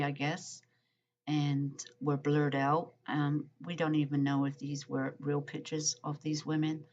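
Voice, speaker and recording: A woman's voice: deep voice, a little mellow. A woman vlogger reading a letter aloud and commenting, hesitant with frequent ums and uhs, in muffled lo-fi audio. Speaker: true-crime vlogger.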